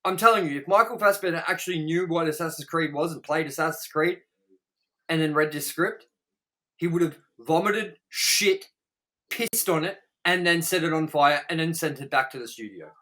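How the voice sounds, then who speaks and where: A man with a fairly high voice and a bright, forceful edge. He talks like the co-host of a casual review show, opinionated and emphatic. His speech is a rant thick with swearing. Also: normal speed. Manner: ranting, emphatic, swears heavily.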